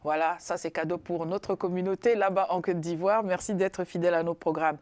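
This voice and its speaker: A woman's voice: on the low side, steady tone, fairly quick, a little mellow. A woman hosting a TV talk show, introducing guests with formal, theatrical flourishes.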